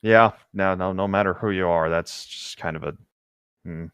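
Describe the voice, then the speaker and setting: Male co-host, deep voice, dry close sound. Reads fiction aloud and drops in wry, easygoing asides. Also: normal speed, a bit bright, clean tone, dry recording. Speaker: co-host reading a novel aloud with commentary. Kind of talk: reading aloud with casual joking commentary.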